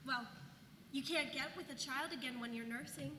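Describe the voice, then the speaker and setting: In an echoing hall with faint noise, a woman plays a gossipy, sarcastic young colonial mother. Her voice is high and cutting, and she chatters in fed-up, modern casual phrases for a stage reading. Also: normal speed, sharp tone. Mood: chatty.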